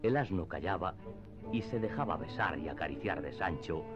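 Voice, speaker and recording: A man narrating a classic novel in a radio drama, with measured literary storytelling. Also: low-pitched, animated. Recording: slightly dull, band-limited audio. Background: faint background music.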